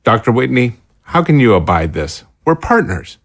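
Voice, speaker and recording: Man playing an indignant scientist, swooping pitch. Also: very animated, moderate pace. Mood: outraged. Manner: sputtering, incredulous, formal wording. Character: indignant, principled male research scientist, betrayed and stubborn. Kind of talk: audio drama acting.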